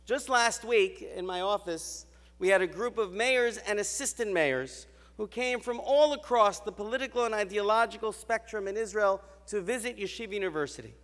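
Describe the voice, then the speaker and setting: A man's voice: high voice, a bit bright. Man giving a formal award address. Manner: measured, stately delivery with deliberate pauses. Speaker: university president presenting an award. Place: large hotel ballroom. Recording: reverberant banquet hall.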